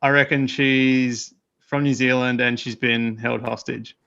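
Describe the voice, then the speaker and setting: A man's voice: mid-range voice, little pitch movement, measured pace, gravelly, bright, forceful tone, clear, resonant tone, muffled recording. A man co-hosting a podcast over a video call, laid-back in how he speaks. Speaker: podcast co-host. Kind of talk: casual chat.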